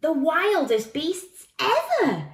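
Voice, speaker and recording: A woman plays a small lost boy in a storybook, high-pitched and roomy in sound. He is earnest and sniffly, warning dramatically of dreadful things. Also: huge pitch swings, slow pace, heavily acted. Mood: dramatic warning. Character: lost, frightened small boy, glum and exaggerating.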